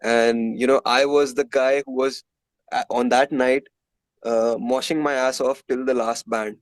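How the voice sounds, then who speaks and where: Casting: male musician guest, Indian accent, mid-pitched voice, dry recording, candid conversational storytelling. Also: steady tone, measured pace, no room sound. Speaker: musician being interviewed. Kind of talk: casual interview storytelling.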